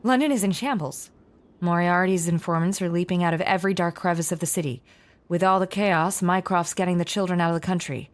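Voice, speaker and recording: An actress playing a shrewd, cool, unapologetic criminal in an audio drama, pointed and self-assured. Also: female voice, on the low side, fairly quick, lightly acted. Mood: grave, informative.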